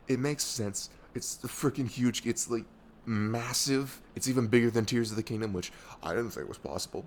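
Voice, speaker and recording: A man's voice: fairly low pitch, a little hiss or hum, close to an everyday voice. A man playing a young, affectionate, nerdy best friend in an intimate ASMR roleplay, rambling informally with hesitant, self-deprecating asides. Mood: animated, griping.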